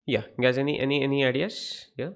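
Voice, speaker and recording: Young indian english guy teaching chess on a stream, gravelly voice, keeps asking the chat stuff. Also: medium pitch, fairly even intonation, a little fast. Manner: explanatory, asks viewers questions, greets chat by name. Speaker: chess coach. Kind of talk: live-streamed chess lesson.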